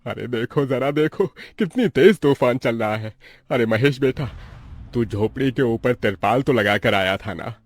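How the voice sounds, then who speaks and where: Man as a poor labourer dad, worried, exhorting, mid-pitched, dry close recording, cartoon moral tale. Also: lively intonation, measured pace, no room sound. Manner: fretful, exhorting. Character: Devesh, poor worried labourer father, bossy but caring. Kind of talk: Hindi animated moral story acting.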